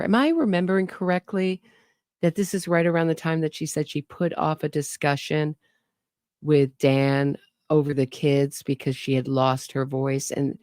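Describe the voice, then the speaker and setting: A woman's voice: a bass voice, darkish tone, dry recording, clean studio sound. A woman giving skeptical, wry commentary on a true crime case and speaking straight to her audience. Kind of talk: casual commentary over interrogation clips.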